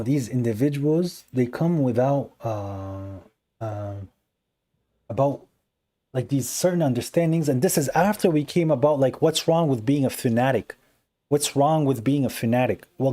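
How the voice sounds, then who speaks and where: A man hosting a live call-in religious debate on a phone stream, explaining his points earnestly and briskly. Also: medium pitch, mellow. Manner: earnest, explanatory, quick to dismiss callers. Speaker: livestream host debating callers. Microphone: phone livestream.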